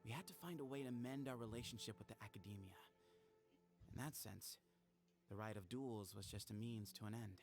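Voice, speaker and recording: An actor playing an earnest young male temple heir in a video game, soft-spoken and sincere. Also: on the low side, a little fast, faint music behind, lightly acted. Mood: grateful, sincere. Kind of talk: scripted video game dialogue.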